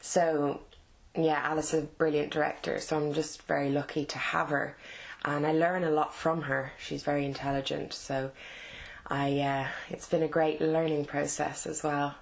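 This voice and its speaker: Woman, Dublin Irish accent, low voice, halting and casual, fillers and restarts. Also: flat delivery, gravelly. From Ireland. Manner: halting, many fillers and restarts, laughs between thoughts. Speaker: actress and interview guest. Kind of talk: casual interview answers.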